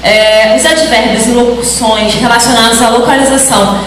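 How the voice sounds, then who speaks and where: A woman's voice: on the high side, steady tone, moderate pace, a little hiss or hum. Woman presenting in an echoey hall. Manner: explanatory, with frequent "né?" tags. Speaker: teacher presenting a research project. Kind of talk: academic presentation to an audience. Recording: echoey hall, mic far off.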